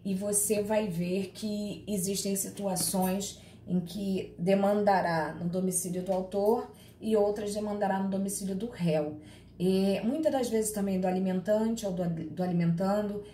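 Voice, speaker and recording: A woman from Rio de Janeiro with a Carioca accent, giving a law class online and chatting with her students in a lively, teasing way. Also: mid-range voice, a little slow, a little hiss or hum. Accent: Carioca Portuguese. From Rio de Janeiro, Brazil. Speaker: law lecturer. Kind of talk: live online class, reading chat questions. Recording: echoey room with faint ambience.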